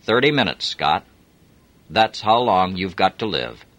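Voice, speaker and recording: A man's voice: deep voice, expressive, a little slow, fairly bright tone, pitched above the performer's own voice. A man voicing a cold, threatening Soviet major. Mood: menacing.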